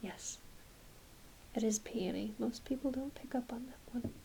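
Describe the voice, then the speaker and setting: A woman playing a gentle, reassuring doctor in an ASMR exam roleplay, speaking softly and hesitantly, often half-whispering. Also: a little high, a little slow, gravelly. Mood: calm, reassuring. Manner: soft, half-whispered, hesitant, apologetic bedside talk. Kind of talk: soft-spoken ASMR medical exam roleplay. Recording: faint steady hiss behind close voice.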